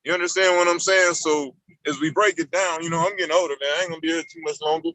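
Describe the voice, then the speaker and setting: Older guy preaching on a panel, highish voice, dry sound. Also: animated, fast, vocal fry, sharp tone, dry recording, low-quality recording. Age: around 50. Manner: preachy, repeats "you understand what I'm saying". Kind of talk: impassioned panel discussion.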